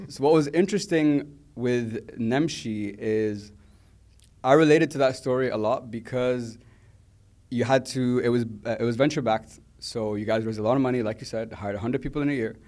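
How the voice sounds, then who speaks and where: A man's voice: mid-range voice, normal speed, darkish tone. Young male host, earnest, long-winded questions, casual interview tone.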